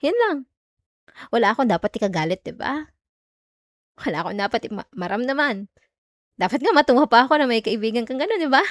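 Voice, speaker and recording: A woman's voice: a little high, animated, fairly quick, long pauses, dry recording, clean studio sound, lightly acted. A woman with a Filipino accent playing a jealous, hurt young girlfriend in an emotional, sarcastic audio roleplay. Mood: bitter, sarcastic. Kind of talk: Tagalog ASMR girlfriend roleplay, acted monologue.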